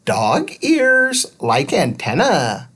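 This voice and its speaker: A man voicing a small squeaky helper robot in a storybook, talking in clipped, broken phrases. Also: wildly swooping pitch, extremely slow, a bit bright, breathy edge, full character voice. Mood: matter-of-fact. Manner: clipped, simplified phrases without articles.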